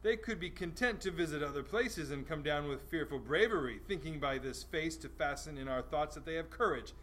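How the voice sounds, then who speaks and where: A man's voice: fairly high pitch, lively intonation, fairly quick, fairly bright tone. A man playing a hot-blooded, scornful Roman general in an amateur dramatic Shakespeare reading, with a theatrical, taunting manner. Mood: confident. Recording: faint background room noise.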